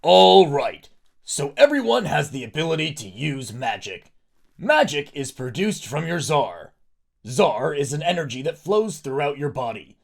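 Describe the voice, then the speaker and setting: A man voicing a solitary male warrior mentor in an audio drama, grave and measured, with a gravelly voice. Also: on the high side, big pitch swings, no echo, studio quality, full character voice. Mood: instructive.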